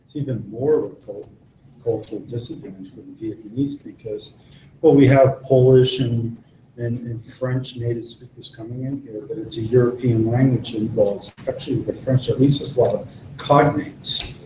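A man's voice: mid-range voice, fairly even intonation, normal speed, dark tone, slight background noise. Distant man speaking up in class. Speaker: seminar participant. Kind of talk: classroom discussion comment. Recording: distant echoey room pickup.

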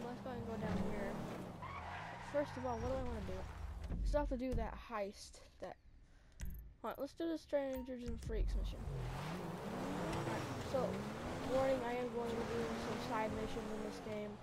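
A woman streamer giving casual, rambling gameplay commentary, sniffly and low on energy, on a desk mic. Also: moderate pace, long pauses, heavy background noise. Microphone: new desk mic.

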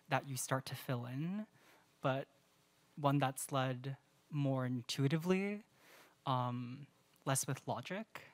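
Female audience questioner, extremely low voice, dry acoustic, thoughtful and articulate. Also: dry recording. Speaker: audience member asking a question.

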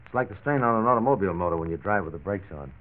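An actor playing a frank, reassuring family doctor who explains firmly, in 1940s radio style. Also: male voice, low voice, lively intonation, racing. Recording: tinny 1940s radio transcription disc.

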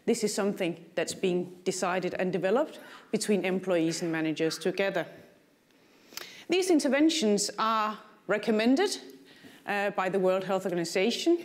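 A woman's voice: mid-range voice, expressive, normal speed. A middle-aged woman with a Danish accent, a native Danish speaker, gives a keynote lecture. She walks the stage on a lapel mic in a large conference hall, adds dry asides, and puts questions to the audience. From Denmark. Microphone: lapel mic, walking the stage.

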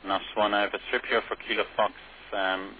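A deep-voiced man speaks briskly as an air traffic controller. He gives instructions over a thin, narrowband radio channel. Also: flat delivery. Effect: aviation radio. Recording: narrowband radio transmission with faint static.

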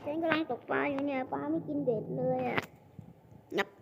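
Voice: high voice, steady tone, fairly quick, gravelly, dull audio. A child acting out playful, improvised cartoon story dialogue between several characters, switching from one to another. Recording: noisy home recording.

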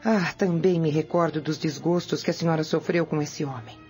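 A woman's voice: low-pitched, steady tone, normal speed, darkish tone, faint music behind, close to an everyday voice. An actress playing an obedient, deferential servant woman who conspires with her mistress in a radio drama. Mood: deferential, attentive.

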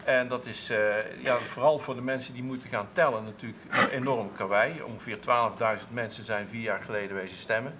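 A man's voice: mid-range voice, fairly even intonation, brisk, few pauses, noisy background. A man in his thirties, a council clerk, answering interview questions in a TV studio with many restarts and fillers. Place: TV studio with seated audience, at a table.

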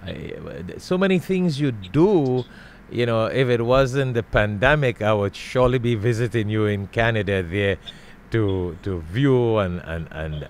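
A middle-aged man from Trinidad hosting an interview in a studio, speaking into a boom mic with frequent restarts and repetitions. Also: slight background noise. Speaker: interview host. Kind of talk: casual interview. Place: studio with world map backdrop.

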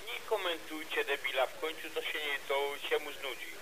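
A man's voice: a little high, normal speed, very noisy. A man admonishing others in casual amateur radio talk, heard through a radio transmission.

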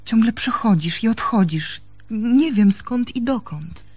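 An actress playing a troubled young woman in a radio play, a devoted but reproachful lover speaking earnestly and haltingly. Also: fairly high pitch, animated, a little mellow, slightly rough. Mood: remorseful, pleading. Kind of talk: Polish radio play acting.

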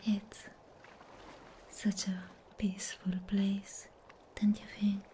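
Woman as serene anime sea guardian for ASMR roleplay: breathy whisper, very slow, mellow, soothing. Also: medium pitch, completely flat delivery, a little hiss or hum, natural voice.

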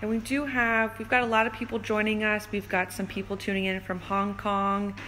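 Woman hosting with a medium-pitched voice. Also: little pitch movement, moderate pace, fairly bright tone, a little hiss or hum, poor audio. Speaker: live stream host. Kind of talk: casual live interview, relaying viewer questions. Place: indoors in a hair salon.

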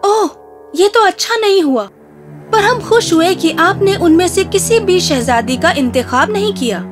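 A very high, swooping voice for a regal queen mother in a cartoon fairy tale. She is exacting and instructs deliberately, with music playing underneath. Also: expressive. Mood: reassuring. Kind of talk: children's animated fairy tale voice acting.

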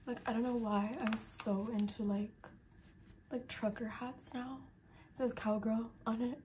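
A woman's voice: on the high side, little pitch movement, measured pace, darkish tone, slight background noise. A young woman in her twenties vlogging casually from her bedroom, sounding congested after being sick, chatty and full of "like", sometimes dropping to a whisper. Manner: chatty, lots of "like", drops to whispers. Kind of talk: casual vlog chat. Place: bedroom at night. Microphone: phone camera held close.

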